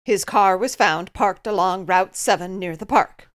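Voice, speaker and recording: An actress playing an imperious, middle-aged eldest sister in a radio drama, stiff, haughty and curt. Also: female voice, mid-range voice, unhurried, natural voice. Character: Carol, imperious, steely gray-haired eldest sister.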